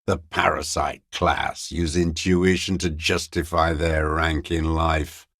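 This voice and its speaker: An actor playing a bitter, dying old communist deserter in a video game, raspy and contemptuous, given to cursing and ideological scorn. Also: male voice, a bass voice, little pitch movement, slow, a bit bright, no echo, clean studio sound, full character voice. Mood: scornful ranting. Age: older. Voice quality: gravelly, raspy. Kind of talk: dramatic video game voice acting.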